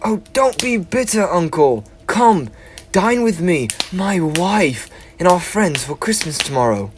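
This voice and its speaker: An earnest cheerful nephew. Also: male voice, sits high, big pitch swings, unhurried, slight background noise. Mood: pleading. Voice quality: earnest, pleading, speechifying. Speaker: stage actor. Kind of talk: high school stage play.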